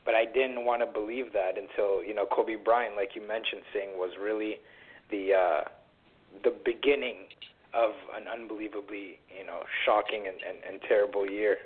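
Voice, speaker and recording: A man in his twenties from Canada, a guest on a casual radio talk, speaking earnestly with plenty of pauses and fillers. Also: on the low side, fairly even intonation, breathy edge. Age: 20s. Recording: tinny phone line.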